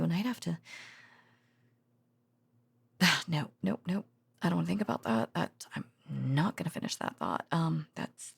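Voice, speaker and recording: A woman playing a tender, worn-out researcher girlfriend in an intimate bedtime audio roleplay, speaking haltingly and drifting into whispers. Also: lowish voice, gravelly, darkish tone, slightly rough, heavily acted. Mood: fond, protective worry.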